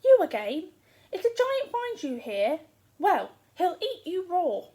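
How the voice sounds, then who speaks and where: A woman's voice: sits high, big pitch swings, measured pace, a little mellow. A woman playing a bossy, scolding giant's wife. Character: giant's wife, big woman, brusque but kind.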